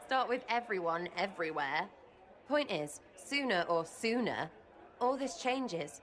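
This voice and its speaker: A woman's voice: medium pitch, animated, a little slow, a bit bright, a faint crowd. An actress voicing a brash, irreverent young female elf rogue in a video game who talks in cheeky, blunt slang.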